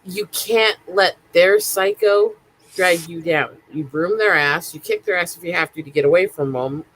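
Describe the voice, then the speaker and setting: A woman's voice: on the low side, normal speed, a bit bright, breathy edge, no echo, low-quality recording. A woman in casual livestream chat, talking bluntly and outspokenly.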